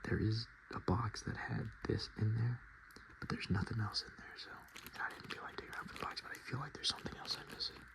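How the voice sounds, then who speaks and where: A man softly murmuring and whispering in a casual, rambling ASMR style as he hosts his video. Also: on the low side, steady tone, fast talker, vocal fry, poor audio. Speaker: ASMR video creator and host.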